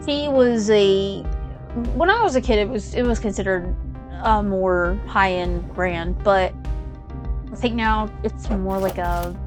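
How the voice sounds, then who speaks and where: A woman's voice: medium pitch, slow. Chatty young woman rambling over game music. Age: young adult. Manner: rambling, chatty, lots of "like" and trailing asides. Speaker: gaming channel host. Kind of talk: casual chat while playing.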